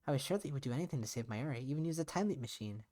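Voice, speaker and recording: A man's voice: medium pitch, expressive, rapid-fire, mellow, lightly acted. A man voicing an earnest young woman in a visual novel, blunt but caring, questioning frankly and insistently. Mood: urgent, questioning.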